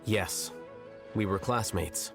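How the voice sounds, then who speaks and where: An actor playing a blunt, aloof male scholar in a game, measured and condescending. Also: on the low side, gravelly, loud music behind the voice. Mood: cool. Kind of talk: game voice acting.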